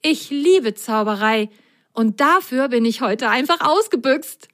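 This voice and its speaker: Woman as young male sock character, high voice, eager, chatty, excitable, children's storybook. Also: a little slow. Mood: eager, proud.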